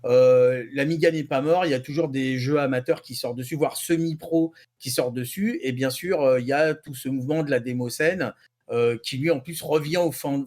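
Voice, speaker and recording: A man's voice: mid-range voice, a little mellow. A man chatting casually on a podcast, hesitating and rephrasing as he explains. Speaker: panelist on an otaku podcast. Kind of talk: casual chat.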